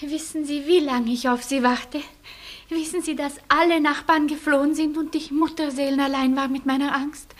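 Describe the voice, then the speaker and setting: A woman's voice: high-pitched, fairly even intonation, moderate pace, lightly acted. An actress playing a romantic, flighty, coquettish French lady in a German radio play, with a dramatic, capricious way of talking. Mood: reproachful, romantic.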